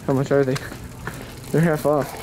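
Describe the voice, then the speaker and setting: A young man hosting a casual vlog, excitable and jokey, filming on a handheld camera in a car and a big store. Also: medium pitch, moderate pace, background noise. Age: young adult. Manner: excitable, jokey, laughs often. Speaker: vlog host.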